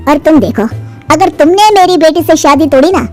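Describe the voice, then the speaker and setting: A woman's voice: sits high, normal speed, mellow, close to an everyday voice. A woman voicing a shrewd, protective, wealthy middle-aged father in a storybook tale. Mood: stern, crafty. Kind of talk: animated storybook dialogue. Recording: music under the dialogue.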